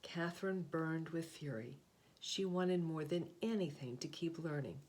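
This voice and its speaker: A woman reading a children's picture book aloud, with deliberate, expressive phrasing and short explanatory asides. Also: deep voice. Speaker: read-aloud host, storyteller.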